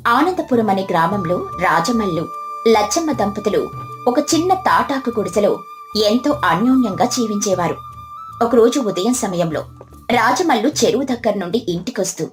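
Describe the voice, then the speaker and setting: Woman narrating a moral tale, kinda high voice, soft music under it. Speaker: storyteller. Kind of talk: scripted moral-story narration. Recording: music behind the voice.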